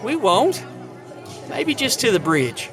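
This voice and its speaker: A man's voice: high-pitched, wildly swooping pitch, slow, fairly bright tone, faint music behind, exaggerated voice. A man playing an earnest, sheepish young suitor in an audio drama, devoted but a little feckless. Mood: tentative.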